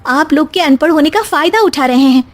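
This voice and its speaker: A woman's voice: high voice, expressive, brisk, pitched above the performer's own voice. A woman voicing an outspoken, educated young daughter-in-law in a cartoon story, emphatic and protesting. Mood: alarmed. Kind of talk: animated Hindi story dialogue.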